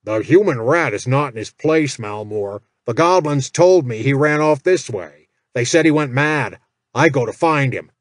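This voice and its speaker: Man voicing a cringing orc, stammering, nervous, slow, dry sound. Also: medium pitch, animated, dry recording, natural voice. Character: cringing stammering orc field overseer, male.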